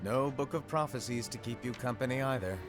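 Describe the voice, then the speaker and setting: An actor playing a mysterious, playful hooded keyblade master in a game cutscene, breezy and secretive. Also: male voice, medium pitch, a little fast, close to an everyday voice. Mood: teasing. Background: game soundtrack music.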